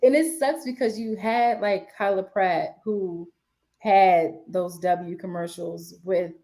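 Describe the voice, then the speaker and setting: A woman's voice: medium pitch, fairly even intonation, slow, dark tone. A woman in her twenties from the DC area, chatting casually as an interview guest with many fillers and restarts. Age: 20s. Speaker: guest, sports media writer.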